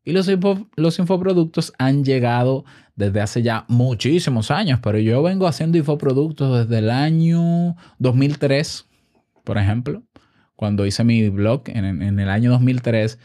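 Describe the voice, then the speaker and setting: A man's voice: mid-range voice, unhurried, warm tone. A man with a Dominican accent giving an informal explainer as an online host, teaching by asking questions and answering them himself. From Dominican Republic. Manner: didactic, asks and answers own questions. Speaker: online business content creator and host.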